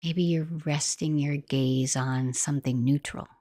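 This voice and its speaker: A woman guiding a meditation in a gentle, soothing, unhurried manner, like soft instructional narration. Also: low voice, steady tone, no room sound, studio quality. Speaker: meditation guide.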